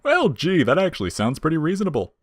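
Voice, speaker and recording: A man's voice: a little high, wildly swooping pitch, fast, dry recording, clean studio sound, voice pushed up. A man voicing a wisecracking skeleton monster with a breezy, folksy, mock-casual manner and a menacing edge in an audio fiction. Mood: breezy, teasing.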